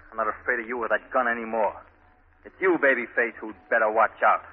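A man's voice: fairly low pitch, fairly even intonation, brisk, slightly rough. A man playing an earnest, troubled, idealistic architect out of work, acted in 1940s radio drama style. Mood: defiant. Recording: tinny, band-limited old radio broadcast.

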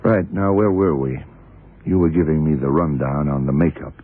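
Very deep-voiced shrewd detective. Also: male voice. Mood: pondering. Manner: deliberate, probing, clipped questioning. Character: shrewd, methodical male police detective in charge. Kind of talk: 1960s-70s radio drama acting. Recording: tinny, muffled old radio recording.

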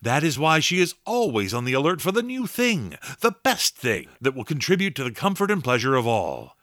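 A man's voice: a little high, wildly swooping pitch, fairly quick, a bit bright, dry recording, studio quality. An actor playing a grandiose man announcing and narrating in a dramatic 1930s radio style. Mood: lofty salesmanship. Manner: dramatic, emphatic. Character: grandiose male old-time radio announcer.

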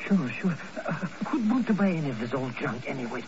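A man playing a jittery, cowardly comic sidekick in a 1950 radio drama, wheedling and excitable in colloquial speech. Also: on the high side, fairly quick. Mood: nervous, wheedling. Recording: muffled, noisy old radio broadcast.

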